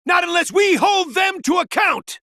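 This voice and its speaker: A man's voice: extremely high pitch, lively intonation, moderate pace, dry recording, clean studio sound, exaggerated voice. An actor playing a fiery male resistance leader in a video game, delivering an impassioned, rousing speech to his rebels. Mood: fiery, defiant. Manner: impassioned shouted oratory.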